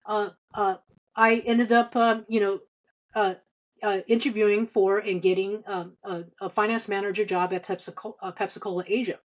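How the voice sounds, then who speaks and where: Female podcast guest, fifties, hesitant conversational delivery, middle-pitched voice, dull recording. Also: fairly even intonation. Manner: halting, hesitant delivery with frequent stammered repeats and long filler runs. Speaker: podcast guest, senior finance executive. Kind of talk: conversational interview answers, career recollection.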